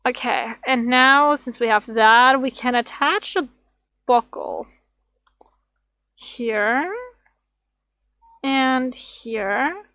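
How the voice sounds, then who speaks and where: A woman streamer with a slightly raspy voice, rambling and thinking aloud as she draws on a casual livestream. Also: sits high, bright, forceful tone, no echo. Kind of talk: casual drawing livestream chat.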